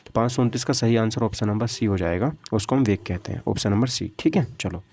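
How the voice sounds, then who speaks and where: A man's voice: lowish voice, fast talker, a little mellow. A man teaching a lesson through practice questions, repeating himself and answering his own questions. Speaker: physics teacher for exam coaching.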